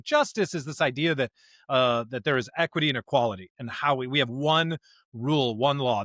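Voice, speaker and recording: A man co-hosting a podcast in a conversational discussion of scripture, speaking emphatically in halting bursts and often checking in with a rhetorical question. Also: medium pitch, a bit bright, dry recording, clean studio sound. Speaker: podcast co-host.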